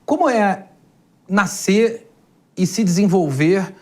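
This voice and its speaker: A man hosting a Brazilian TV interview program, putting measured, formal questions to his guest. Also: high-pitched, expressive. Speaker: TV program host.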